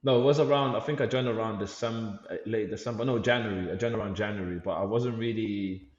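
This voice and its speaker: Deep-voiced man in a roomy room. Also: fast talker, lo-fi sound. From Lithuania. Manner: easygoing, reflective, stumbles and repeats words. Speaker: podcast guest, life coach and youth worker. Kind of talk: casual podcast conversation.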